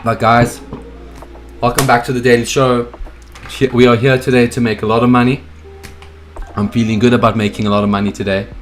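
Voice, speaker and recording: A young man with a hoarse, froggy voice from a cold, hosting a casual live stream from his home office, hyped up and greeting viewers in the chat by name. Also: medium pitch, level tone, a little fast, long pauses, faint music behind. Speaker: streaming host.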